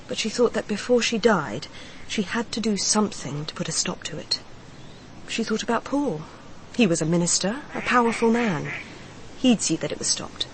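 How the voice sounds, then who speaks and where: An actress playing a grieving mistress confiding in a detective in a radio crime drama, speaking in a measured, confessional way. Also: female voice, lowish voice, slight background noise, natural voice, poor audio.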